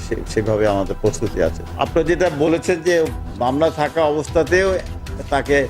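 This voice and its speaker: A man's voice: fairly high pitch, expressive, brisk. A man with a Bangladeshi accent answering interview questions as an official, repeating and rephrasing as he goes. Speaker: government official being interviewed. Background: background music.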